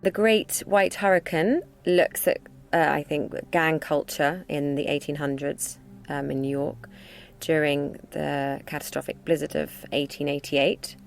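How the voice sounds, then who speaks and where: Actress in casual interview, low-pitched, searching for her words as she talks. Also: steady tone, unhurried, gravelly. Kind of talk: casual behind-the-scenes chat.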